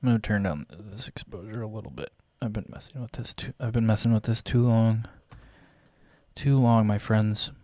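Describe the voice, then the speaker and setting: Young male vlogger, low voice, slightly raspy, halting casual ramble, dry close desk mic. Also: warm tone, no room sound. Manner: hesitant, many fillers and restarts, clears throat often. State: voice getting raspy. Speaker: photographer and vlogger. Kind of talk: casual rambling commentary while photo editing.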